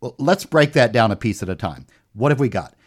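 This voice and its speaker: A man's voice: medium pitch, big pitch swings, brisk. A man co-hosting a podcast, reading a Bible passage aloud and breaking it down step by step. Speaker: podcast co-host. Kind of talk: conversational Bible discussion.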